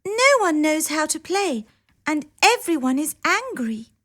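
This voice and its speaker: A very high little-girl voice for a storybook heroine. The child is polite, curious and a bit anxious, speaking slowly in careful, simple phrases. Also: very animated, fairly bright tone, heavily acted. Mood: earnest.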